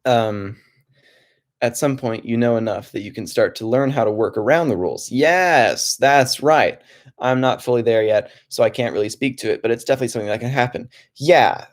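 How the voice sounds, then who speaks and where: A young man hosting a relaxed livestream from his home desk, talking softly in a calm, rambling way with many restarts. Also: medium pitch. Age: young adult. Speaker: livestream host.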